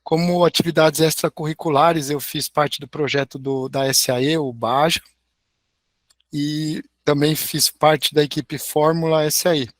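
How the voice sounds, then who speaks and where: Prof, kinda hoarse voice, friendly, says né a lot, dry video call audio. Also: male voice, a little high, fairly even intonation, unhurried, dry recording. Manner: friendly, tags sentences with "né?". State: hoarse, recovering from a sore throat. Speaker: engineering professor, guest lecturer. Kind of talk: online lecture with slides.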